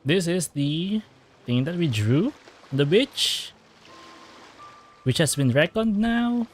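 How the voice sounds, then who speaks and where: Brief: casual playthrough commentator, mid-pitched, thinking aloud, expressive delivery. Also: takes it slowly, slight background noise. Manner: musing, chatty, reacting aloud. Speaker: game streamer.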